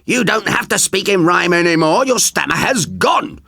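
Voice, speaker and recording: A man's voice: high-pitched, animated, moderate pace, gravelly, sharp tone, no room sound, clean studio sound, pitched above the performer's own voice, heavily acted. A man voicing a bossy, short-tempered king in a children's story, blunt and exclamatory. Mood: exasperated.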